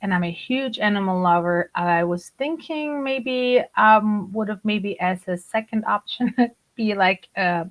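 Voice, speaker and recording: A woman's voice: mid-range voice, unhurried. A woman in her forties, raised in Germany with Turkish as her first language, chatting as a podcast guest and laughing often. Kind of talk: conversational interview.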